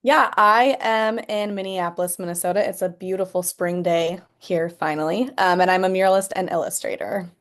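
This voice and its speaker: A woman's voice: lowish voice, steady tone, moderate pace, few pauses. A woman introducing herself on a video call, bubbly and chatty with plenty of "like". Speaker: muralist and illustrator, co-host. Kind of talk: casual self-introduction.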